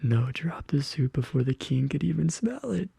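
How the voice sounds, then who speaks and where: Bedtime-story ASMR narrator: very breathy, soft whisper, dark and mellow tone, gentle deliberate delivery. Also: mid-range voice, lively intonation, no echo. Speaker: ASMR video host. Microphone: close studio condenser microphone.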